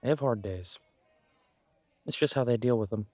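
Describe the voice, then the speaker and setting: A man's voice: medium pitch, mellow, no room sound, faint music behind, lightly acted. A man playing a supportive, self-deprecating best friend in a conversational roleplay audio, talking in a reassuring, reflective way. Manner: reassuring, chatty, reflective pauses. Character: warm, encouraging male best friend, self-deprecating, gently earnest.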